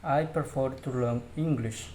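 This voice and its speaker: A man whose first language is Spanish, slowly reading English question-and-answer drills aloud as a learner, with small grammar slips. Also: medium pitch, darkish tone, slight background noise. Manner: slow, careful practice reading with slips.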